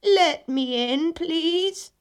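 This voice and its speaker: A woman voicing a storybook wolf who pretends to be a poor lost sheep, with a slow, bleating, wheedling delivery. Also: very high-pitched, voice pushed up, exaggerated voice. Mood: wheedling, pleading.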